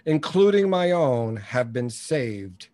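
A man's voice: medium pitch, sing-song, slow, mellow. Blunt, opinionated man in his early sixties. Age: early 60s. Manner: blunt, opinionated, emphatic, punchy colloquial phrasing. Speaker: interview guest, business coach. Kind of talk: conversational interview with anecdotes and advice.

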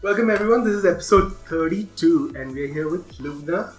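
A woman with a notably low voice hosts a casual podcast, asking friendly questions and laughing along with her guest. The room sounds echoey, and faint music plays underneath. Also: lively intonation, brisk. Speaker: podcast host. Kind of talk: casual interview.

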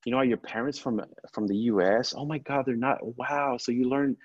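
Male podcast guest, slight lisp, loose slangy rambling, lo-fi video call sound. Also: medium pitch. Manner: halting, rambling, peppered with slang and swearing. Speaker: podcast guest, comedian and film director. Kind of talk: casual chat, slangy storytelling. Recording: dull, lo-fi video call audio.

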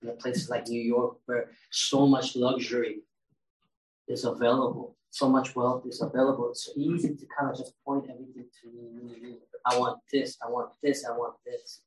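A man's voice: mid-range voice, level tone, moderate pace. A man preaching a sermon in a conversational way, asking his congregation questions as he goes. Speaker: pastor. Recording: roomy echo, mic at a distance.

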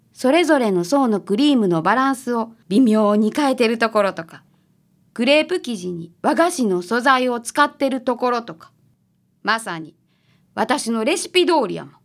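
A radio drama shopkeeper acted by a woman, a bright, assertive woman of about thirty. Her voice is fairly high and carries a Kansai accent. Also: lively intonation, moderate pace. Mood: accusing.

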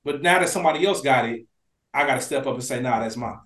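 A man's voice: mid-range voice, fast, a bit bright, reverberant. A man co-hosting a review podcast in casual chat, speaking emphatically with slang and profanity. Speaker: podcast co-host reviewing a TV drama.

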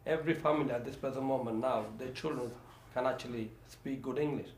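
A man's voice: mid-range voice, steady tone, fast talker, darkish tone. A man whose first language is Bengali speaks as a guest councillor in a casual interview. He talks in fits and starts, repeating phrases and stacking fillers. The room is echoey, with a light hiss behind him. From Bangladesh. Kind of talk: conversational interview. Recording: echoey room with faint background noise.

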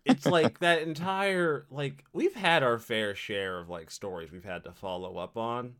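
A man in his thirties hosting a casual podcast, ranting with lots of swearing and sudden loud outbursts. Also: mid-range voice, unhurried, clean tone. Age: 30s. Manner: profane, animated rants with sudden loud outbursts. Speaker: podcast host.

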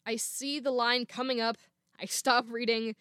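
Young woman, podcast host, scripted talk, slightly high voice, dry close sound. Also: level tone, measured pace, a bit bright, clear, resonant tone, no echo. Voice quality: naturally lower female voice. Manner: reads a script with small laughs. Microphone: home microphone.

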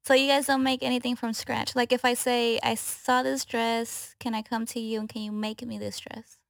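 A woman's voice: fairly high pitch, flat delivery, normal speed, gravelly, dry recording, clean studio sound. A woman hosting a podcast, asking questions in a casual, conversational way. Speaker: podcast host, interviewer.

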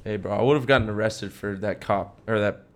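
A young American man with a fairly low voice talks like a podcast co-host among friends. His delivery is casual and slangy, with "like", "dude" and "bro" scattered through loose personal storytelling. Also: brisk, a little hiss or hum. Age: young adult.

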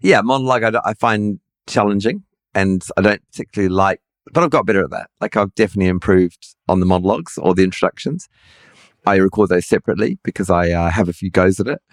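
A man's voice: sits low, fast, dry recording, studio quality. A middle-aged man with a somewhat nasal voice, hosting a podcast in casual conversation, hesitant and self-deprecating with many restarts. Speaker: podcast host.

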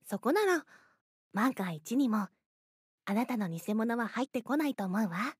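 A woman voice-acting an obsessively lovestruck, possessive young woman in an anime-style audio drama, with breathy, drawn-out declarations. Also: on the high side, lively intonation, close to an everyday voice. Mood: inviting.

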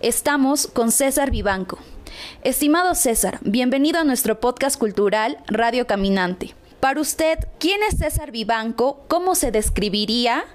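A woman's voice: on the high side, lively intonation, normal speed. A woman, presenting and narrating from a script as a podcast host.

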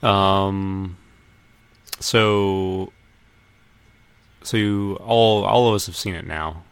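A man's voice: low-pitched, fairly even intonation, extremely slow, clear, resonant tone, dry recording. A man hosting a casual film discussion with friends, thinking aloud in a tentative way. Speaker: podcast host.

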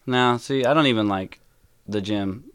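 A man guesting on a podcast, chatting casually with dry, deadpan wisecracks in a naturally loud voice. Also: medium pitch, normal speed, clean tone, dry recording. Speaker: podcast guest, community moderator. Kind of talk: casual chat.

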